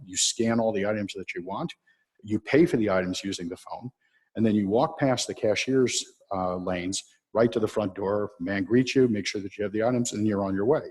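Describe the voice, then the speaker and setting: A man's voice: lowish voice, husky. A man giving a public talk on automation, walking an audience through video clips with frequent fillers. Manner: steady explaining, with frequent uh fillers. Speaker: presenter showing video clips. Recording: reverberant hall.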